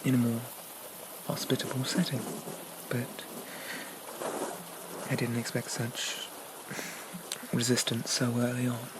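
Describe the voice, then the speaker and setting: A possessive young demon prince, acted by a man in an intimate ASMR roleplay, coaxing and regal with a sweet menace. The voice is soft and breathy, slipping into whispers, with slow phrases and long pauses. A steady rain-like noise runs behind the words. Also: mid-range voice, close to an everyday voice. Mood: possessive, menacing. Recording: noticeable rain-like background noise.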